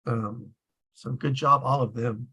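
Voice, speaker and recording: A man's voice: mid-range voice, steady tone, unhurried, bright, forceful tone, slightly rough. A man hosting a casual group discussion in a tentative, rambling way. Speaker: discussion host.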